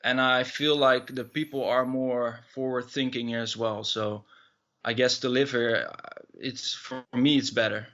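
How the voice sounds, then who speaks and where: A man's voice: medium pitch, flat delivery, measured pace, fairly bright tone. A man in his thirties with a Dutch accent, a musician answering interview questions casually, hesitant and full of fillers and restarts. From Netherlands. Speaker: musician guest.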